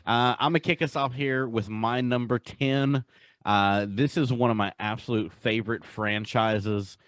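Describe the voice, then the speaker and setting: A man's voice: medium pitch, steady tone, measured pace, fairly bright tone, smooth, dry recording. A young man co-hosting a podcast in casual chat, rambling and stammering as he repeats words. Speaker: podcast co-host.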